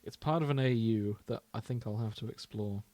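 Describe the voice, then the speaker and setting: A man's voice: fairly low pitch, a little fast, dark tone, dry recording. A man co-hosting a podcast in casual chat, with a jokey, giggly manner. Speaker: podcast co-host.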